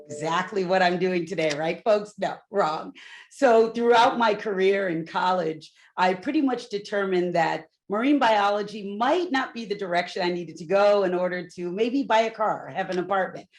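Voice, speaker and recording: A middle-aged woman from Newport, Rhode Island, a loud and candid corporate executive telling her career story on a panel with asides to the audience. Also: mid-range voice, normal speed. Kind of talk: panel talk, personal story.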